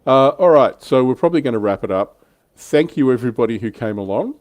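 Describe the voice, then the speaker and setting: A man's voice: medium pitch, lively intonation, fairly quick, a little mellow, dry recording. A middle-aged man, hosting a casual livestream chat about tabletop wargaming. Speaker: livestream host.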